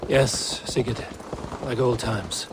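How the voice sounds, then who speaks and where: An actor playing a wary, loyal Viking raider in a video game, stern and measured in his delivery. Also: medium pitch, background noise, lightly acted. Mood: reminiscing. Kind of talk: cinematic video game acting. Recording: game audio with horses and outdoor ambience.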